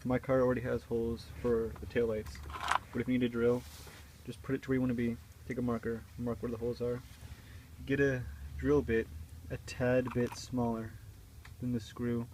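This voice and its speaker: Guy doing an offhand diy how-to, pretty monotone, mid pitch, noisy car sound with clicks. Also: normal speed. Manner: informal, offhand. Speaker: do-it-yourself video presenter. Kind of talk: casual hands-on how-to instruction. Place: in and around a car.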